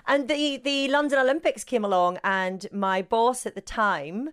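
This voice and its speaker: A woman's voice: fairly high pitch, measured pace, a bit bright, clear, resonant tone. A woman with a Northern Irish accent, a sports journalist talking as an interview guest in a gregarious, self-deprecating way. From Northern Ireland. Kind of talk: casual interview chat.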